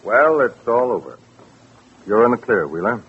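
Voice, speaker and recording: A man acting a gruff, blunt homicide lieutenant with a husky, rough voice in a 1940s radio drama. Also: medium pitch, big pitch swings, moderate pace, heavily acted. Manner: clipped, blunt. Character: gruff, matter-of-fact male homicide police lieutenant. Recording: dull vintage radio recording.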